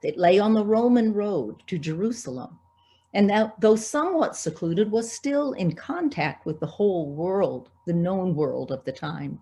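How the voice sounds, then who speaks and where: A woman's voice: lowish voice, dark tone. A woman pastor delivering a prepared, scripted sermon.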